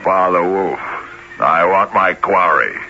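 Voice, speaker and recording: A man playing an arrogant, menacing man-eating tiger in an old-time radio drama, with an imperious, gravelly delivery. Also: low voice, pushed and bright, exaggerated voice. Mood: imperious demand. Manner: imperious, slow, threatening. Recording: muffled vintage radio with faint noise.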